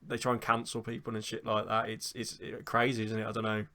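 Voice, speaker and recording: A man's voice: lowish voice, completely flat delivery, fast talker, sharp tone. A man, hosting a casual football chat in a chatty way and swearing now and then. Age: 20s. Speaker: podcast host.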